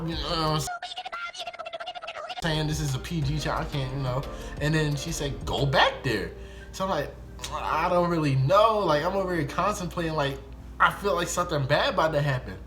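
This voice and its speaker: A man's voice: fairly high pitch, normal speed. Young American man telling a story over music. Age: young adult. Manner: animated, slangy, re-enacts dialogue. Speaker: YouTube storyteller. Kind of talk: casual first-person storytime vlog.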